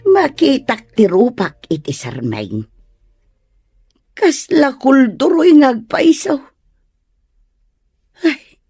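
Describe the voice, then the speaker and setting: A woman playing a poor, lonely, plaintive wife mocked for her looks in an Ilocano comedy drama, exclaiming in drawn-out phrases. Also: medium pitch, a little mellow, breathy edge, no echo, studio quality, heavily acted. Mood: dejected self-pity.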